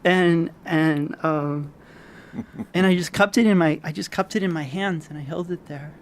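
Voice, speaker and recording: An actor playing a lonely, anxious young janitor in an audio drama, halting and dreamy. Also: male voice, on the high side, slight background noise, lightly acted. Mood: dreamy, tentative. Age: young adult. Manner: halting, hesitant, repeats words.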